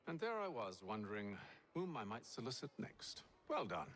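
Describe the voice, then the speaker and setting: An actor playing a coolly courteous gentleman leader, polished, wry and confident. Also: medium pitch, big pitch swings, normal speed. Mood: wry, pleased. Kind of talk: dramatic game cutscene acting.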